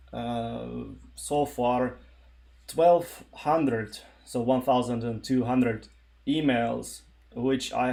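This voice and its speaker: A man from eastern Ukraine, a native Russian speaker with a Russian accent, talking informally and haltingly on a tech livestream. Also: mid-range voice, creaky, darkish tone. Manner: halting, searching for words. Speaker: live streamer, software developer.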